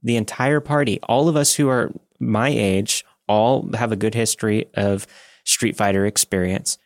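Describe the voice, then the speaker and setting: A man's voice: on the low side, fairly even intonation, normal speed, no echo, clean studio sound. A man co-hosting a podcast, chatting casually and telling personal anecdotes with chatty enthusiasm. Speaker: podcast co-host.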